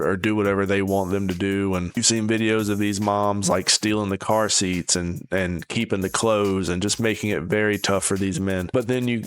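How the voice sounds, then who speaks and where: Man, deep smooth voice, casual online commentator, blunt, scornful delivery. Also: a bit bright.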